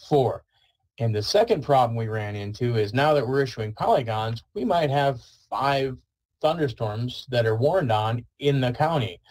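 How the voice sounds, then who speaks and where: A man presents in a webinar with a mid-pitched voice. He explains things conversationally and informally, like someone walking colleagues through slides. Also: a little mellow, poor audio. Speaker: weather service presenter.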